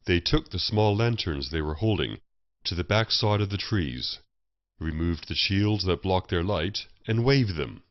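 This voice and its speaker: A man's voice: deep voice, lively intonation, measured pace. A man reading a historical fantasy story as a scripted audiobook narration. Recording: muffled, roomy voice recording.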